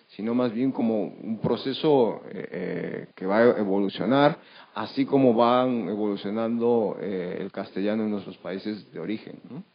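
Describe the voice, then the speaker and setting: A man on a discussion panel, speaking off the cuff in a halting way, searching for words and adding frequent tag questions. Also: lowish voice. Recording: dull, lo-fi event recording.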